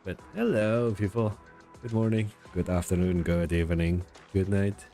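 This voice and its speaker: A man's voice: sits low, moderate pace, dark tone. A man livestreaming a game in casual chat, rambling and self-deprecating as he searches for words, with game music behind him. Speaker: game streamer. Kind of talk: casual live stream chat.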